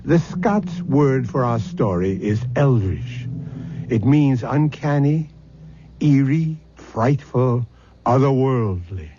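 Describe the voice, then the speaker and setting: A man hosting an old radio mystery show, reading scripted narration in a deliberate, theatrical, ominous manner. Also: mid-range voice, expressive, background noise. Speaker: host and announcer. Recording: dull old radio, music behind.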